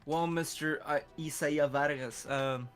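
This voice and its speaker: A man's voice: a little high, steady tone, unhurried, fairly bright tone, soft background music. A man with a Californian English accent chatting casually while co-streaming a game, rambling and cursing freely. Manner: rambling, profane, loose. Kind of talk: casual gaming chat.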